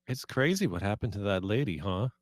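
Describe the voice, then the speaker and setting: A man acting a low-voiced, flirty bartender. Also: sing-song, brisk. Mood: curious. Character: Olivia, flirty, teasing, nosy woman bartender. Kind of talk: screenplay table read.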